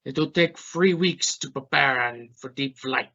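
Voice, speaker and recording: A man's voice: mid-range voice, sharp tone. Dull-sounding, brusque scientist, acted by a man. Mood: formal, courteous. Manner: formal, measured, slightly halting phrasing. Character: brusque male Russian space scientist and mission captain. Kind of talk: scripted radio play interview. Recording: dull lo-fi recording.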